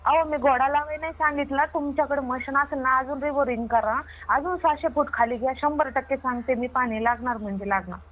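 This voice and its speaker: A woman's voice: high voice, fairly quick, few pauses, fairly bright tone. A woman playing a stubborn, self-assured water diviner with a rural Maharashtrian Marathi accent, arguing insistently and repeating herself in a comic phone-call skit. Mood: defensive, indignant.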